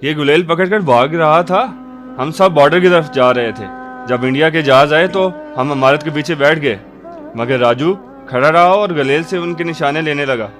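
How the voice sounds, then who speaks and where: A man's voice: fairly high pitch, moderate pace, a bit bright, faint music behind, natural voice. A man playing an earnest neighbourhood fellow in a radio drama. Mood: grave. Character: earnest neighbourhood man bearing grave news.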